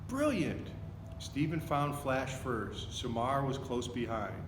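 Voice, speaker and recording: Man, children's story reading, expressive delivery, medium pitch, reverberant noisy room. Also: slow. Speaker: volunteer story reader. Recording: echoey, reverberant room with noticeable noise.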